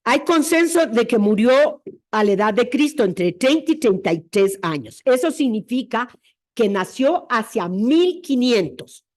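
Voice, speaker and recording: A woman's voice: on the high side, very animated, unhurried, darkish tone. A woman presenting an academic history lecture, explaining her reasoning step by step in a didactic way.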